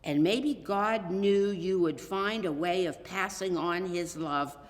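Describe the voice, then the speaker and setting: A woman voicing a gentle, thoughtful father in a children's story, speaking deliberately and fondly. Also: lowish voice. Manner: deliberate, fond. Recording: reverberant hall.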